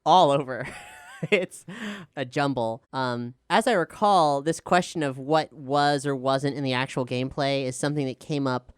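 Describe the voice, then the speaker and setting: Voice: mid-range voice, moderate pace, clear, resonant tone, no echo. An enthusiastic, articulate podcast host talking conversationally through a question-and-answer show. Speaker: showrunner and host.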